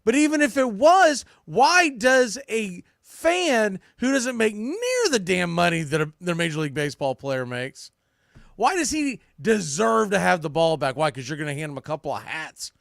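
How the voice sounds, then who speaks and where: Higher-pitched man, blunt sports podcast host. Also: sing-song, moderate pace, gravelly, fairly bright tone, no echo, studio quality. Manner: blunt, ranting, mildly profane; emphatic, repeats phrases for punch. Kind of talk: opinionated sports recap monologue.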